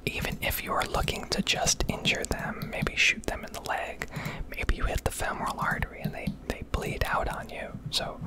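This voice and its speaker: An American woman rambling candidly and casually in a breathy ASMR whisper, swearing now and then, recorded on a close microphone. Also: moderate pace. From United States. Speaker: ASMR video creator.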